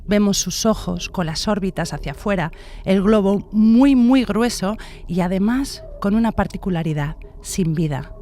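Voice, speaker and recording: A woman's voice: mid-range voice, normal speed, mellow, slight background noise. A woman from giving explanatory commentary as an expert guest. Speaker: expert guest, face-reading analyst.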